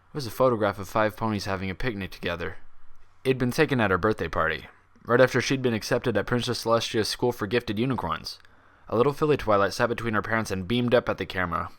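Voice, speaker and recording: A man reading fan fiction as scripted story narration, with expressive, dramatic delivery. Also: lowish voice.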